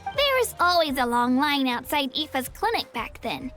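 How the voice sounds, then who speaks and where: A woman's voice: high voice, big pitch swings, a little slow, fairly bright tone, full character voice. A woman voicing an earnest, sweet young girl adventurer in a video game, with a childlike voice. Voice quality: childlike, girlish. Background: music.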